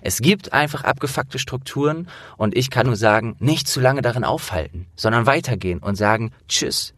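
A man's voice: medium pitch, animated, normal speed, a bit bright, no echo. A man in casual, opinionated conversation, speaking earnestly and emphatically in colloquial language with some swearing. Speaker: interviewer and audio drama producer with acting training.

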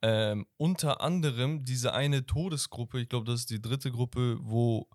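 A young man co-hosting a casual football podcast, laughing often and telling stories in quick bursts. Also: mid-range voice, no echo, studio quality. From Turkish background. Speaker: podcast co-host.

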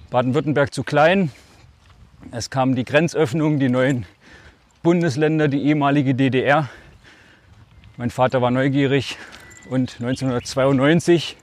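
A man's voice: mid-range voice, a little mellow. A man in his forties from Germany with a northern German accent with a slight Swabian touch, talking as a podcast guest in a reflective, storytelling way. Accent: Altmark, northern Germany, slightly Swabian. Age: 40s. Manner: reflective, speaks in short phrases, often draws words out. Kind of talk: casual storytelling.

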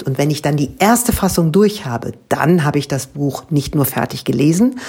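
A woman's voice: sits low, darkish tone, husky. A woman translator answering students' interview questions, explaining her work thoughtfully at length with frequent hesitations.

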